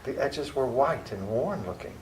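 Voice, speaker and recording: A man narrating journal entries as a worried young doctor in a live radio play, measured in narration and anxious in scenes. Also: mid-range voice, expressive, close to an everyday voice. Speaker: narrator and lead actor. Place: library hall with live audience. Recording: echoey hall with faint room noise.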